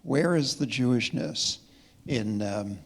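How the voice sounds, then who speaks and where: A man with a mid-pitched voice asks a question from an audience. He phrases it tentatively, feeling his way toward the point. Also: lively intonation, takes it slowly, a little hiss or hum. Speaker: audience member asking a question.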